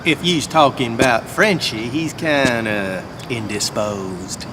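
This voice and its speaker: A man's voice: mid-range voice, takes it slowly, noisy background, lightly acted. A man voicing a dim-witted, easygoing cowboy henchman in a video game, with a folksy Southern US drawl. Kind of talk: video game cutscene voice acting. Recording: music behind the voice. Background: game music.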